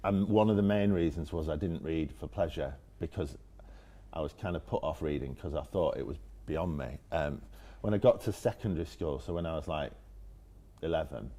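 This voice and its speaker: A man's voice: a bass voice, normal speed, dark tone. A man with a Northern English accent telling casual personal anecdotes in an informal, self-deprecating way, as an interview guest. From Leeds, northern England.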